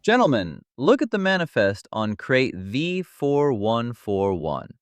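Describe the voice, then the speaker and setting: A man playing a confident operative in a mystery drama, delivering threats in a deliberate, emphatic way. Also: medium pitch, sing-song, dry recording. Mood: confident, threatening.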